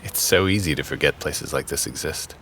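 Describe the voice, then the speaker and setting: Amiable, very deep-voiced undercover traveler. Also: male voice, brisk, fairly bright tone, slight background noise. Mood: fond, easygoing. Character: amiable, careful man travelling undercover, politely curious. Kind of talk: audio drama acting.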